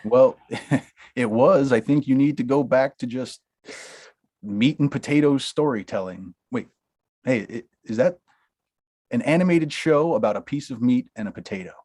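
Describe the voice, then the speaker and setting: An actor at a table read playing a young talent manager with a dry, deadpan sense of humor. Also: male voice, medium pitch, moderate pace, natural voice. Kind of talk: table read of a comedy script.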